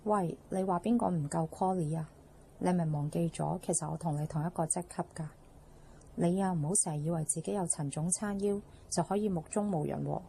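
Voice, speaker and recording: A woman with a Hong Kong Cantonese accent playing a sly, flattering office schemer who needles and whines in a radio drama. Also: on the low side, creaky, slight background noise. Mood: indignant. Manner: whiny, needling, drawn-out sentence endings.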